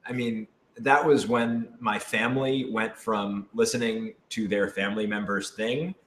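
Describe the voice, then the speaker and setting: A man's voice: fairly low pitch, steady tone, a little slow, fairly bright tone. Playful man in a noisy, echoey room. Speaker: cast member and writer on panel. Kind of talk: casual panel chat. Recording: echoey room with background noise.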